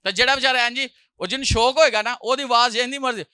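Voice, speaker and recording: A male pastor, preaching a sermon with a sore throat, often clearing it and rising loudly on hallelujah. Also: very high voice, a little fast, no room sound, studio quality. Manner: preaching with loud rises on hallelujah and jokey asides.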